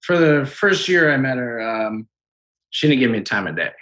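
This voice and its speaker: A man in his late twenties on a call, his middle-pitched voice bright and forceful. He tells the story of his relationship casually and gets a little defensive when pressed. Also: animated, moderate pace. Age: 20s. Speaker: caller. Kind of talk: casual conversation.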